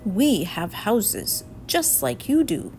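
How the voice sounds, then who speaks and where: Woman voicing a mild, slow-speaking male schoolteacher. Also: medium pitch, huge pitch swings, a little mellow, soft background music, full character voice. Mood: patient. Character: polite, mild adult male schoolteacher in a storybook.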